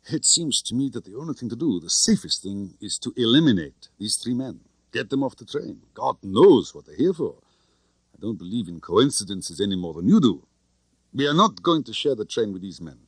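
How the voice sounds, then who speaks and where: Man acting tough jovial spymaster, confident, expansive, theatrical, animated pitch, audiobook drama. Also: dry recording. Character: big, tough, cheerful, confident foreign spy chief, male.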